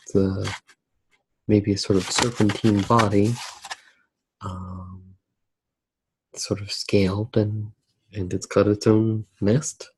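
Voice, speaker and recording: A soft-spoken man narrating a drawing in ASMR style, hesitant and pausing mid-sentence. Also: on the low side, level tone, slightly rough, low-quality recording. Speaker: ASMR art video host.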